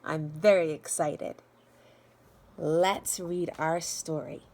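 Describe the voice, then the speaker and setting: A middle-aged woman talking and reading aloud to young children in an expressive teacher's manner. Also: sits low, takes it slowly. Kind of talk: children's read-aloud with chatty introduction. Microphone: phone at arm's length.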